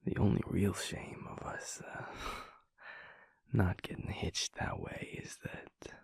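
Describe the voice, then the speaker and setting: A heavily fried, half-whispered murmur, close and dry on the microphone. It is a man acting a teasing, tender werewolf boyfriend in an intimate audio roleplay, cocky and informal, with lively swings in pitch. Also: slow, dry recording, full character voice. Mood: playful, cocky. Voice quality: breathy, gravelly, husky.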